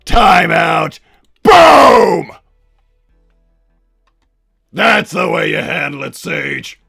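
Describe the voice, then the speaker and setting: A man voicing a pompous, hot-tempered cartoon villain doctor and strict, doting papa, raspy and blustering, chatting in character on a livestream. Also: a little high, big pitch swings, extremely slow, bright, forceful tone, no echo, shouting, studio quality, soft background music, full character voice. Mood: indignant, firm. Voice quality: gravelly, raspy. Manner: blustering, bombastic. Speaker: livestream host.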